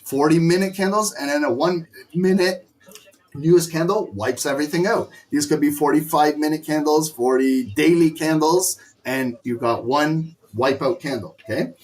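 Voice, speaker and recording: A hoarse middle-aged man teaching a live trading lesson in an emphatic, scolding way. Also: on the high side, moderate pace, a little mellow. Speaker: trading instructor.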